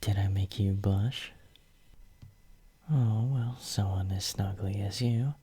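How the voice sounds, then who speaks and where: Slow, whispering devoted young hero played by a man. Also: lowish voice, fairly even intonation, mellow, exaggerated voice. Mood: bashful, smitten. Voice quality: breathy, whispery. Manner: slow, tender, intimate murmuring. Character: devoted, possessive young male hero soothing his lover. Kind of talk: whispered romantic ASMR roleplay. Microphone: close microphone.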